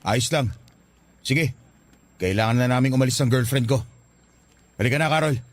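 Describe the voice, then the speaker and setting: A man's voice: medium pitch, moderate pace, no room sound, close to an everyday voice. A man playing a jealous, controlling young boyfriend, curt and scornful, with a Filipino accent in a radio drama. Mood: curt, suspicious. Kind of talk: Tagalog radio drama acting. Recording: music bed behind the voice.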